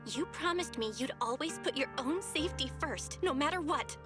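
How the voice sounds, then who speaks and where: A woman's voice: high-pitched, expressive, moderate pace, music in the background. A woman voicing a concerned, loyal young friend in an anime video game, speaking firmly and earnestly. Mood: worried, insistent. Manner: earnest, insistent.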